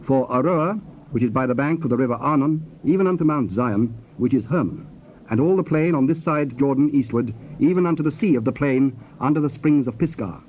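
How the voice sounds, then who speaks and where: An actor narrating dramatized scripture in a formal, measured manner with clear phrasing. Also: male voice, medium pitch, natural voice. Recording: dull old cassette tape, faint hiss.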